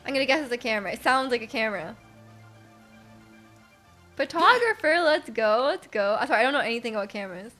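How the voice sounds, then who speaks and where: A woman live streaming a game, chatting casually with an excitable manner and often repeating her phrases. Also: fairly high pitch, quick pace, long pauses. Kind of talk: casual live stream chat while playing. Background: game music.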